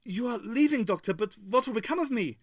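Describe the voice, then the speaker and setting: Storybook figure: a man playing a scared older dictator, high and pleading, dry read. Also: moderate pace, sharp tone, no echo. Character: frightened, shaken aging male dictator.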